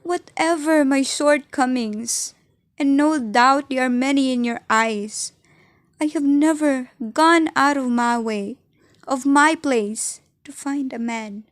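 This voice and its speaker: High-voiced, indignant young fiancée, acted by a woman. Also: slow pace, no room sound, studio quality. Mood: wounded, bitter. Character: hurt, indignant young fiancée, jealous and accusing. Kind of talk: amateur radio drama acting.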